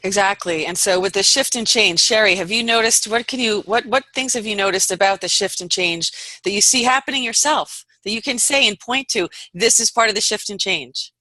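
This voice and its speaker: A woman hosts an unscripted discussion in a bright, forceful voice. The sound is close and dry, with almost no room on it. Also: medium pitch, brisk, few pauses, no echo, low-quality recording. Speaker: talk show host.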